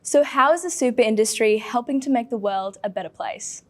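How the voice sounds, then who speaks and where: Brief: woman in her twenties, higher pitch, upbeat student host. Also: fairly quick. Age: 20s. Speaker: law student and panel host. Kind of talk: scripted intro and casual self-introduction.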